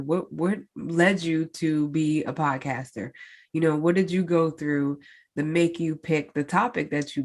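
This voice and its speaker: Solo podcast host, woman, stuffy-nosed and nasal, deep slow easy delivery. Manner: chatty, many fillers, addresses listeners directly. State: congested, stuffed-up nose. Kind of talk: casual solo podcast update.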